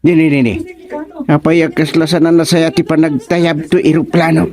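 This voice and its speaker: Man acting a high-voiced, jittery Ilocano comic sidekick. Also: little pitch movement, moderate pace, darkish tone, close to an everyday voice. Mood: puzzled. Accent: Ilocano Filipino. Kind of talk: Ilocano radio comedy drama acting.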